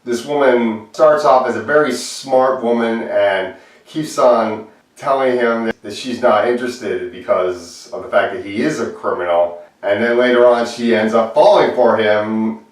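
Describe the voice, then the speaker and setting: A man's voice: medium pitch, fairly even intonation, measured pace. A man giving a casual, blunt spoken movie review as an amateur reviewer, circling back over his opinions. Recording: very echoey room, distant microphone.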